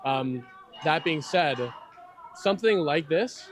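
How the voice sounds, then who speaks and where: A man's voice: on the high side, expressive, slow, background noise. A man giving argumentative interview answers, often restarting his phrases.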